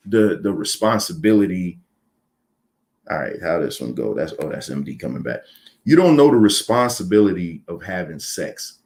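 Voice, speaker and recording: A man in his thirties giving blunt opinions in a casual panel chat, often repeating words as he starts a point. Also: on the low side. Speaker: podcast panelist.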